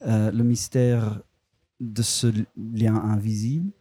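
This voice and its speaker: A man's voice: on the low side, little pitch movement, slow, warm tone, breathy edge, dry recording. A filmmaker, a man speaking a language that is not his first, answers interview questions hesitantly, restarting and searching for words. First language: not French.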